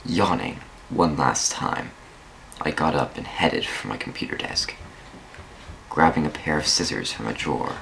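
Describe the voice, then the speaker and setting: A man's voice: a bass voice, gravelly, a bit bright, a little hiss or hum, rough recording. A man giving a theatrical dramatic reading of a first-person story, drawing out words for emphasis. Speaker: amateur dramatic reader of online fan fiction.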